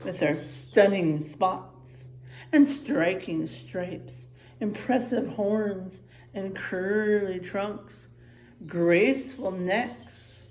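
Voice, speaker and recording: A woman reading a picture book aloud to children in a teacherly, expressive way, checking in with her listeners as she goes. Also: medium pitch, very slow, roomy sound, a little hiss or hum, low-quality recording. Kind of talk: animated children's read-aloud with asides to listeners.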